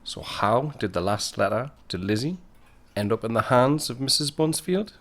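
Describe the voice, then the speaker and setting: A man's voice: medium pitch, lively intonation, moderate pace, lightly acted. A man playing a wry narrator, telling a 1919 tale in a dramatic storytelling style for an audio drama.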